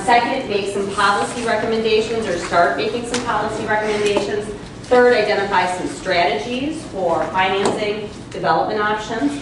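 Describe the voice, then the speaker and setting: A woman leading a public housing meeting, walking the group through a survey with frequent fillers. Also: mid-range voice. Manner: explanatory, many fillers. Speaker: meeting facilitator. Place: church hall meeting. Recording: echoey room from far off with noise.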